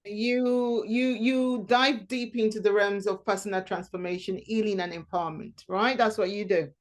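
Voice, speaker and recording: A woman's voice: medium pitch, fairly even intonation, normal speed. Woman podcast host, mid-range voice, effusive and enthusiastic interviewer. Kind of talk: interview hosting.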